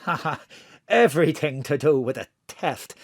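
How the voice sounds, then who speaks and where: A woman's voice: low-pitched, lively intonation, moderate pace, dry recording, clean studio sound. A woman playing a secretive, conspiratorial member of a secret society in an audio drama, speaking cryptically.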